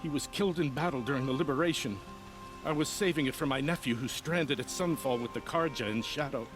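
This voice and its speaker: A man's voice: mid-range voice, fairly quick, over music, close to an everyday voice. An actor playing an anxious, proud nobleman in a video game, speaking in a formal, dramatic, earnest way. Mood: sorrowful, earnest. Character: anxious, proud nobleman pleading over family honor. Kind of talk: video game character acting.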